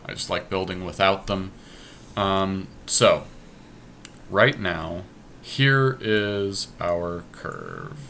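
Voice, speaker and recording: Bright-voiced, low-pitched man thinking aloud. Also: big pitch swings, very slow, gravelly, a little hiss or hum. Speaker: podcast host playing a card game draft. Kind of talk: casual strategy commentary while drafting.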